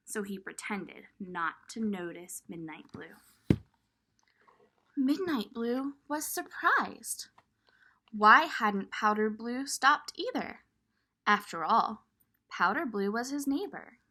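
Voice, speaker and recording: A woman reading a picture book aloud to young children, expressive and pausing to point things out. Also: medium pitch. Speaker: children's storybook reader.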